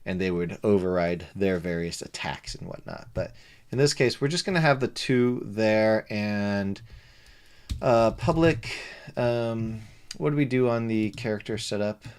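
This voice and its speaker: A man's voice: fairly low pitch, unhurried, clear, resonant tone. A man streaming live game development, talking to himself with many fillers and joking asides in a soothing voice. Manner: rambling, self-talk with many fillers and asides. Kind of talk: live coding stream, casual narration.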